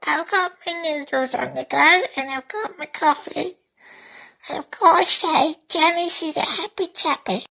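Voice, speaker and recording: A woman's voice: sits high, unhurried, creaky, pushed and bright, full character voice. A woman voicing a chirpy, chatty everyday woman in a character sample.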